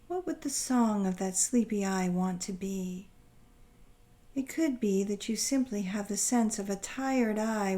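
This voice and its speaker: Female meditation guide, soothing and gentle, with very unhurried, deliberate phrasing and a dark, relaxed tone. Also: medium pitch. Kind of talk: guided meditation, scripted narration.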